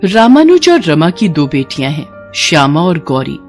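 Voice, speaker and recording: A woman's voice: low-pitched, sing-song, normal speed. Female storyteller with music underneath. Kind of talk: scripted moral bedtime story narration.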